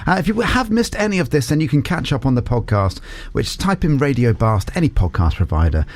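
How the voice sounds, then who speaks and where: Male radio host, very animated pitch, playful, teasing, casual studio chat. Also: fairly quick. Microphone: studio microphone.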